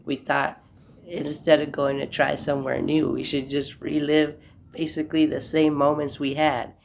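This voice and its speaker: Young guy with a cold, stuffy nose, kinda gravelly, flat voice, just rambling on his podcast. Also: fairly high pitch, moderate pace, a little hiss or hum. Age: young adult. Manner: rambling, reflective, apologetic asides. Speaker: podcast host. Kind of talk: casual solo podcast monologue. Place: home room.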